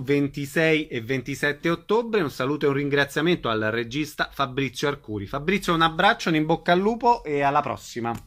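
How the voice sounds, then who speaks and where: A man's voice: a little high, a bit bright. A man working as a radio interviewer, giving scripted introductions and brief questions.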